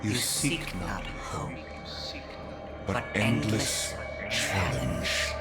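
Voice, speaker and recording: An actor playing an ancient, godlike video game villain, coldly solemn and seductively persuasive, speaking as an orator who slips into whispers. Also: male voice, lowish voice, exaggerated voice. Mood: grandly persuasive.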